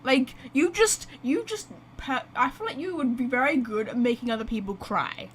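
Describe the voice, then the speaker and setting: A woman streaming a video game with casual live reactions, talking in a rambling, excitable, blunt way. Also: high voice, moderate pace, slight background noise.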